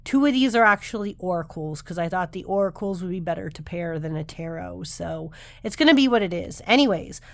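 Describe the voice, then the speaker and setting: A woman's voice: on the low side, expressive, a little fast, creaky, hardly any pauses, no echo, clean studio sound. A woman in her thirties, slightly nasal while recovering from the flu, chatting to camera in a rambling, self-interrupting way as a tarot vlogger. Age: 30s. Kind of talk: casual chat to camera.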